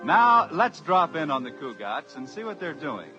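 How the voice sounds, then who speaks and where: A vintage radio announcer with a high, polished voice introduces the show in formal, measured phrasing. Clear music plays beneath him. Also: male voice, sing-song, bright, forceful tone, dull audio. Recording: old radio broadcast over music.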